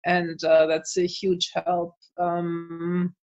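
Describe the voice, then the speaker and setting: A woman's voice: fairly low pitch, completely flat delivery, very slow, darkish tone, lo-fi sound. A woman from Germany with a German accent, chatting as a guest in an interview, thoughtful with frequent fillers and laughs. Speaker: author and translator guest. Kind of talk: casual interview chat.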